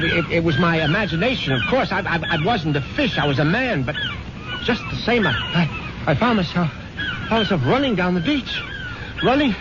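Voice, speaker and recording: A man's voice: fairly high pitch, heavy background noise. An actor playing a nervous, superstitious man who narrates his own story and often stammers. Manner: stammering, anxious. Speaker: first-person narrator. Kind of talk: 1970s radio drama acting. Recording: dull old radio recording, loud music.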